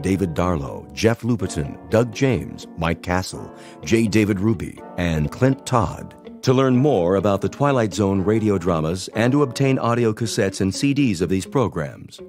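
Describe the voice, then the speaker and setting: Lowish guy reading radio credits, formal, music under. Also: darkish tone. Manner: measured, formal. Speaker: announcer. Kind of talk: closing credits read.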